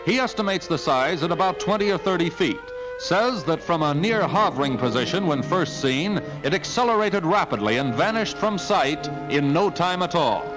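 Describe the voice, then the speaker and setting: Dramatic high-pitched 1950s American newsreel man. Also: animated, normal speed, few pauses, low-quality recording. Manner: emphatic, portentous. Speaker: newsreel narrator. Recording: old 1950s film soundtrack with music. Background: newsreel music.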